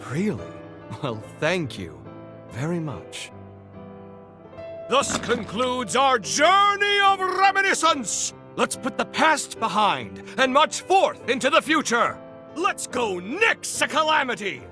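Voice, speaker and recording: A flamboyant immortal nobleman from a video game, speaks with pompous, courtly grandeur. His voice swings widely in pitch and has a bright, forceful edge. He takes his time over his words, with music behind him. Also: wildly swooping pitch, takes it slowly, heavily acted. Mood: triumphant, rousing. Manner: theatrical, pompous, courtly formal phrasing. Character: flamboyant, noble, centuries-old immortal man, grandiose and devoted. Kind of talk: video game character acting.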